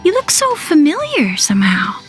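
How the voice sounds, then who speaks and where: High-voiced eager young trainee girl, lively swings, earnest, excitable, video game. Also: moderate pace, faint music behind. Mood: curious, self-doubting.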